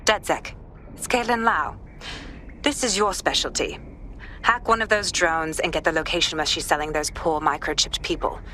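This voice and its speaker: Stern mission ally in a game, deep forceful voice, direct, slight noise behind. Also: female voice, normal speed. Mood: urgent, determined. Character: stern, resolute woman ally giving mission briefings. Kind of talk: video game voice acting.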